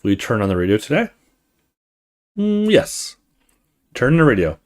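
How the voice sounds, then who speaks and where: A man reading a horror visual novel aloud as a let's play host, with expressive storytelling and casual asides. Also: mid-range voice, moderate pace.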